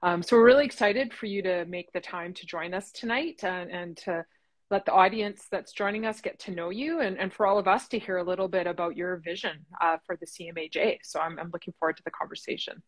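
A woman in her forties hosting an online interview, warm and encouraging, talking over a webcam video call from home. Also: on the low side, fairly quick. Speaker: interview host, association president. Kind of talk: casual interview chat. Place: home room. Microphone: laptop webcam on video call.

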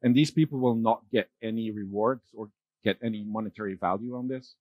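A middle-aged man giving a conference keynote on a large dark stage, talking loosely with fillers and restarts. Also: lowish voice, a little fast, no room sound, narrow, tinny audio. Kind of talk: keynote talk with slides.

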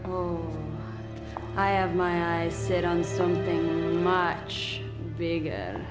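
An actress playing an ambitious, self-assured villainess, sultry and insinuating, in a video game cutscene. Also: female voice, fairly low pitch, slow, over loud music. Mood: seductive.

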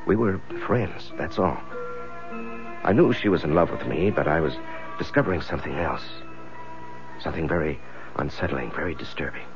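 Deep, hesitant American lawyer. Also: male voice. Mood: troubled, confessional. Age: middle-aged. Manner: hesitant, halting. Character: troubled, devoted middle-aged married male lawyer. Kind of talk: 1970s radio drama acting and narration. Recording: muffled 1970s radio recording with music.

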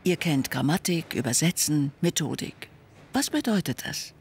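A man voices the translated words of a signer in measured, first-person sentences. His voice sits a little high for a man and has a gravelly edge. A faint hiss lies under the voice. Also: very animated. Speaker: voice-over interpreter. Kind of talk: read voice-over translation of signed speech.

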